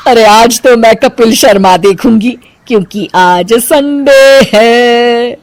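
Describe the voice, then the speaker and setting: A woman playing a middle-aged city mother-in-law, theatrical and given to grumbling, in an animated story. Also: fairly high pitch, slow pace, dry recording. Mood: dramatic, complaining. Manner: theatrical complaining.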